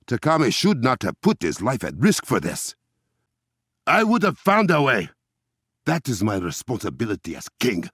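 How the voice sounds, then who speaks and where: An actor playing a proud, resolute warrior king in a video game, with an African accent and formal, measured, regal diction. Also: low-pitched, gravelly, fairly bright tone, husky, no room sound, studio quality, exaggerated voice. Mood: urgent, commanding. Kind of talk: dramatic video game voice acting.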